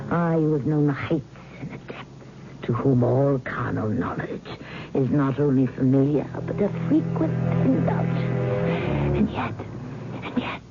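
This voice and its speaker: Slow, menacing ancient cat queen. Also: sits low, exaggerated voice. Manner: grandiose, theatrical, slow deliberate delivery. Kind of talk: old-time radio horror acting. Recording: thin phone-like vintage radio sound, music behind.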